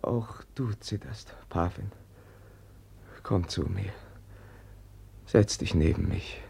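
An actor playing a gentle, sickly Russian prince in a German literary radio drama, halting and solemn, with a husky, breathy, shaken delivery. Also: male voice, deep voice, slight background noise, exaggerated voice. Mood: hushed, soothing.

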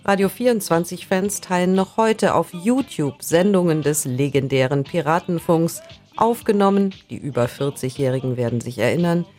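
A man's voice: a little high, moderate pace, a little mellow. Radio feature narrator, male, over music. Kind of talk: scripted documentary narration.

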